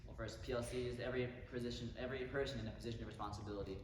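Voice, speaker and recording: A man's voice: medium pitch, fairly even intonation, racing. Formal teenage boy in a very echoey hall. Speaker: youth candidate giving a campaign speech. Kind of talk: formal campaign speech and answers. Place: large echoey indoor room. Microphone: distant microphone. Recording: echoey hall from far off, clear background noise.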